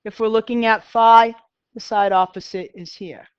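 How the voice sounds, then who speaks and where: A woman's voice: fairly high pitch, fairly even intonation, moderate pace, smooth, dry recording, highs cut off. Boston-accented woman teaching math. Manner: chatty, self-deprecating, talks to students. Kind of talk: classroom lecture.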